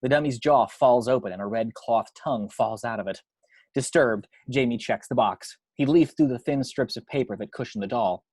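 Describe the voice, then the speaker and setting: A man's voice: mid-range voice, normal speed, a little mellow, husky, dry recording. A man reading screenplay action and scene headings as narrator for a table read at home. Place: at home, dry close recording.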